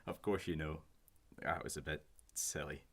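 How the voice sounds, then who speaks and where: A man's voice: a bass voice, steady tone, normal speed, gravelly, slightly rough, full character voice. An actor playing a nervous man on a first date, awkward, apologetic and terse in naturalistic audio drama dialogue. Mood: sheepish.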